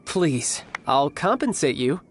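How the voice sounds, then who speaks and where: Playful young big brother type, game voice, very lively, faint noise. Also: male voice, fairly high pitch, takes it slowly. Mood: gentle. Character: smooth, playful young male harbinger, doting big brother. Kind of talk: video game character acting.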